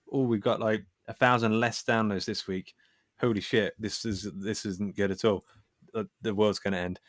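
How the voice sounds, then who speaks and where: Low-voiced man in casual interview. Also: fairly bright tone, dry recording, clean studio sound. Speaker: podcast guest, writer.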